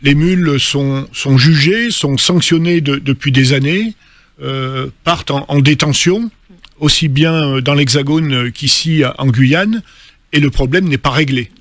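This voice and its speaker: A man speaking as a studio guest on a radio debate, measured and full of hesitations. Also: fairly high pitch, a bit bright, dry recording.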